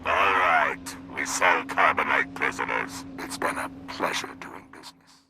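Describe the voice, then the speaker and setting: A man's voice: extremely low pitch, a little slow, gravelly, very noisy, heavily acted. An actor playing a menacing male gang dealer in a video game, recorded amid game ambience. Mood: menacing, smug.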